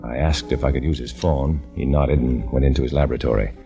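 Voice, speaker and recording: A deep, hard-boiled undercover narrator. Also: male voice, steady tone, fast talker, creaky, lo-fi sound. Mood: tense. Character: undercover man posing as a communist, tense and wry. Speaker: narrator and lead. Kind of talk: 1950s radio drama narration. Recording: old radio broadcast with music bed.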